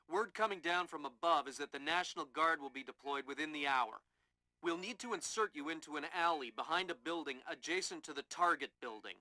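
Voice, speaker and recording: Bright, forceful field coordinator. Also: male voice, fairly high pitch, no echo, low-quality recording. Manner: forceful, businesslike. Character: male field operations coordinator, urgent and businesslike. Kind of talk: video game voice acting, mission briefing.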